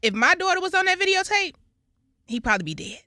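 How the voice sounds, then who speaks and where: A woman's voice: very high-pitched, very animated, fast talker, pushed and bright, dry recording, clean studio sound. A woman co-hosting a casual podcast, giving blunt, firm opinions. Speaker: podcast co-host. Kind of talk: casual group chat.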